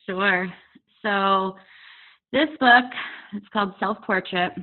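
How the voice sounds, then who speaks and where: A woman in her forties, a poet and author, speaking candidly and reflectively on a video call interview, often pausing with fillers. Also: mid-range voice. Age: 40s. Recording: tinny phone-like audio over a video call.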